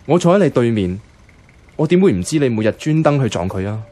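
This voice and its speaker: A man's voice: medium pitch, sing-song, quick pace, mellow, faint music behind. A man playing an easygoing, teasing office colleague in a Hong Kong Cantonese radio drama, casual and coaxing. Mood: casual, probing.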